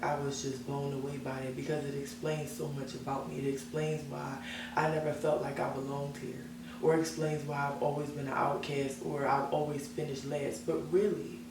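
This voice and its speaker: Echoey young woman, earnest and self-assured. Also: deep voice. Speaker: interview guest, singer. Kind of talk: casual interview chat. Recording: echoey room, mic at a distance, background hum.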